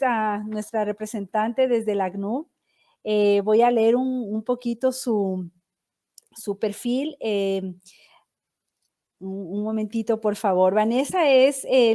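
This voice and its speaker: A woman's voice: a little high, dark tone. A woman moderating an online panel, introducing speakers with frequent hesitations. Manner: hesitant, with many "eh" fillers.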